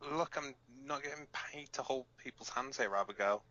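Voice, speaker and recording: A man's voice: mid-range voice, normal speed, sharp tone, lo-fi sound, lightly acted. A man playing a blunt, cynical resistance fighter who co-hosts an underground broadcast in an audio drama. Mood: sardonic. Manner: blunt, sardonic. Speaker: radio show co-host.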